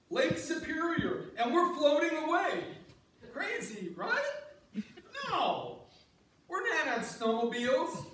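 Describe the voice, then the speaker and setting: A man's voice: very high-pitched, pushed and bright, exaggerated voice. A man playing a flustered, pleading stranded ice fisher in a staged play reading. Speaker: amateur reader's theater performer. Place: church sanctuary stage before an audience. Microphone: far audience camera. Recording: distant, very echoey church hall, noisy.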